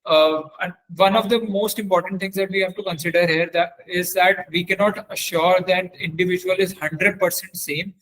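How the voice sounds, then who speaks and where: A man with a somewhat high-pitched voice leads a technical webinar. He talks like a trainer walking through a demonstration on screen. His explanation is halting, with repeated phrases and self-corrections. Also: fairly even intonation, a bit bright, poor audio.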